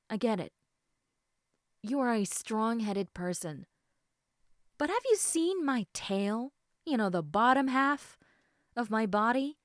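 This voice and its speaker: A woman playing a caring, slightly snarky snake woman in an intimate audio roleplay, speaking in a soft, hesitant conversational murmur that dips into whispers. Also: mid-range voice, dry recording, studio quality. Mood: firm, snarky.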